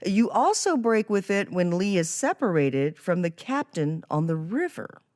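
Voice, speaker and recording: A woman's voice: medium pitch, big pitch swings, unhurried, darkish tone. A woman hosting a podcast, presenting and asking questions in a scripted interview style. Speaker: podcast host.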